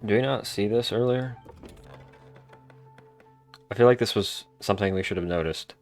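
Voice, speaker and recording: Low-voiced guy doing casual game commentary. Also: normal speed, soft background music. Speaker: gamer commenting on a playthrough.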